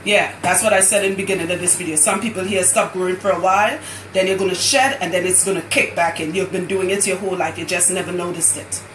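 A woman's voice: lowish voice, quick pace, roomy sound, slight background noise, rough recording. A woman with a Trinidadian accent, chatting boisterously on a live stream while working as a loctician in a hair salon. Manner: boisterous, animated, laughing. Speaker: loctician host.

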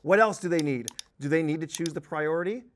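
A man in his thirties with a fairly high voice teaches software in a conversational, emphatic way. He talks as a trainer walking through an on-screen demonstration, with some noise behind him. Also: fairly quick. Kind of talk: tutorial presentation with on-screen demonstration. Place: indoor presentation studio at a desk.

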